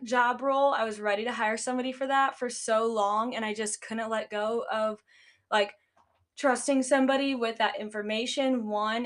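A woman's voice: on the high side, fairly bright tone. A young woman hosting her own podcast, talking casually about running a business, with a stuffy, nasal sound and lots of "like" and "um" fillers. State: congested, nasal. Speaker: podcast host, business owner. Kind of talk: casual solo podcast talk.

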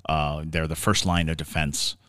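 Very deep-voiced man, explaining conversationally. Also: level tone, bright, forceful tone, no echo, studio quality. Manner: halting, explanatory, repeats words while forming thoughts. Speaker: podcast co-host.

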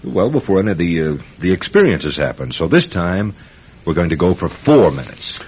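An actor playing a rude, scheming and obsessive famous writer in a classic radio drama, brusque and domineering. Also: male voice, low voice, sing-song, moderate pace, a little mellow, breathy edge, exaggerated voice. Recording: muffled old radio broadcast.

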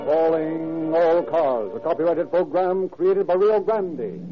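A man's voice: fairly high pitch, takes it slowly. An American man announcing and narrating in a polished, emphatic 1930s radio style. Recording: muffled vintage radio recording, music behind.

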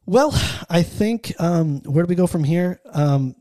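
A man co-hosting a casual faith podcast, talking earnestly with halting restarts. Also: a little high, animated, dark tone, dry recording, clean studio sound. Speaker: podcast co-host.

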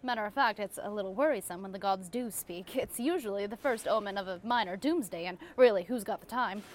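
A woman playing a courteous, peacemaking friar with a measured, dry manner in a comedic fantasy audio drama. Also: mid-range voice, slight background noise, lightly acted. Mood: wry. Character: calm, wry, peacemaking friar of a sun god.

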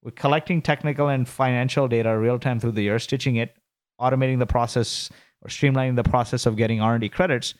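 Fast-talking founder pitching his company. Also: steady tone. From Kuwait. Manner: fast, businesslike pitch with rhetorical questions. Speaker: startup founder. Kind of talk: interview answers.

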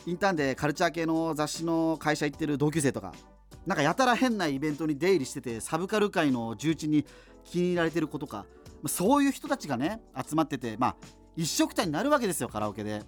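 An actor playing a chatty, self-deprecating radio host who muses casually through listener letters, with music behind him. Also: male voice, a little high, sing-song, quick pace. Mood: wry reminiscing. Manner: rambling, colloquial, musing asides.

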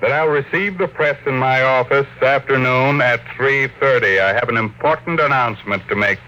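An actor with an American accent playing a domineering, curt industrial tycoon in an old-time radio drama, emphatic and commanding. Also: male voice, medium pitch, fairly quick, close to an everyday voice. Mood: cold, commanding. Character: domineering, obsessed male industrial tycoon, brusque and imperious. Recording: noisy old radio recording.

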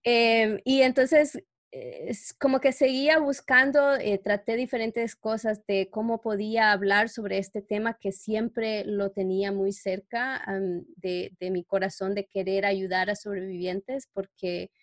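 A middle-aged woman from New York speaking in a personal, reflective way as an interview guest, haltingly searching for her words. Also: mid-range voice, fairly even intonation. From grew up in New York. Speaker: interview guest, educator for parents who are abuse survivors.

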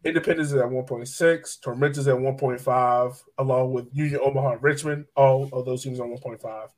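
A man's voice: mid-range voice, fairly even intonation, a little fast, a little mellow. A man co-hosting a podcast, chatting casually about sport in an emphatic way, repeating himself for effect. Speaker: podcast co-host. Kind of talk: casual sports chat and analysis.

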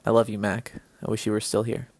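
A man's voice: on the low side, level tone, a little fast, darkish tone, husky, no room sound. A man from Los Angeles reading a reflective, heartfelt listener message to a podcast.

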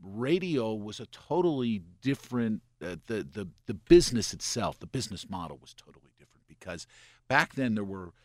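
Deep gravelly older man, dry studio mic. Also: fairly quick, dry recording. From Pennsylvania. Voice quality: gravelly from scotch and cigarettes. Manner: relaxed storyteller, explains with asides and "you know". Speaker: radio program director, guest. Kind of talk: casual interview storytelling.

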